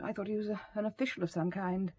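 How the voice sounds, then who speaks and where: A woman's voice: mid-range voice, steady tone, fast talker, darkish tone, husky, dry recording, natural voice. A woman playing an anxious, loyal secretary, hesitant, earnest and polite in an audio drama. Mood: anxious, relieved. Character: anxious, loyal British secretary, deferential but perceptive.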